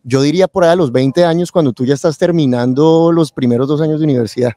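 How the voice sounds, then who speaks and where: A man's voice: on the high side, quick pace, warm tone, dry recording, studio quality. A man, telling his own story casually as an interview guest. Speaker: interview guest, tax lawyer and accountant. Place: convention event booth, indoors. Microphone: close boom-arm microphone with headset.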